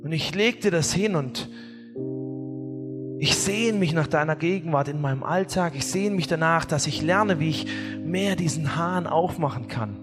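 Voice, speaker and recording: A male pastor delivers a sermon with a lively, humorous storytelling manner and a slightly high-pitched voice. His words ring out with long reverb in a large hall. Soft guitar music plays faintly behind him. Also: moderate pace. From not from Bavaria or Austria.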